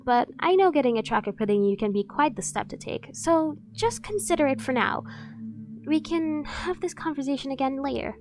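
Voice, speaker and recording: A woman performs a devoted female bodyguard in an ASMR roleplay, her voice pitched high. She coaxes and insists with a professional front that barely hides a dorky obsession. Faint music plays behind her. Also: expressive, moderate pace, darkish tone. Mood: insistent. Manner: persuasive, coaxing, insistent.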